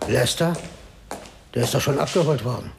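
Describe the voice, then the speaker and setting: A gravelly, wry jail guard. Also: male voice, mid-range voice, animated, normal speed, very noisy. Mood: wry indifference. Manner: wry, laconic. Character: laconic, cynical male jail guard. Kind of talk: radio drama acting.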